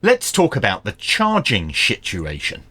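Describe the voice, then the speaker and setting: Male product reviewer for an unboxing. Lower register, animated intonation, informal and frank delivery. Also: a little slow, husky. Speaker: reviewer and presenter of radio gear.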